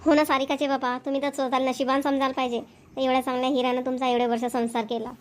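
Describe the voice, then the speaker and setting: A woman voicing a proud, sharp-tongued rural wife in a cartoon, bickering and boastful. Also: high-pitched, flat delivery, racing, natural voice. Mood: teasing. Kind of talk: cartoon voice acting.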